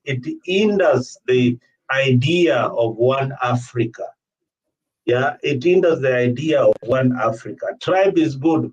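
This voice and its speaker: A man's voice: medium pitch, a little mellow. A man leads a political discussion in an emphatic, repetitive way. His voice sits in the middle of the male range and comes through muffled, a little away from the mic, as on a livestream call. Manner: emphatic, repeats phrases. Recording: dull, distant livestream call audio.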